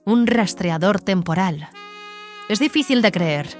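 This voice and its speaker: An actress playing a guarded, sceptical receptionist in an audio drama, reserved and questioning. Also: female voice, medium pitch, expressive, a little slow, soft background music, lightly acted. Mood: sceptical, curious.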